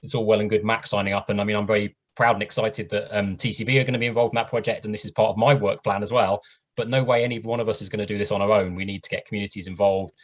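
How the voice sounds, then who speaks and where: Male host, quick and earnest, deep-ish voice, dull call audio. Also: level tone. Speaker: event host. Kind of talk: online event chat. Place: home video call. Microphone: video call. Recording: muffled lo-fi video call audio.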